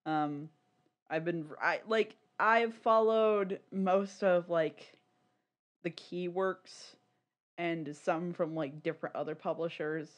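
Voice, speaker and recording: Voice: mid-range voice, steady tone, takes it slowly. A podcast co-host chatting casually in a rambling, candid, giggly way.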